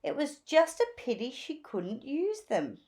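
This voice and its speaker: A woman narrating a children's storybook aloud in a measured, expressive way. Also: sits high.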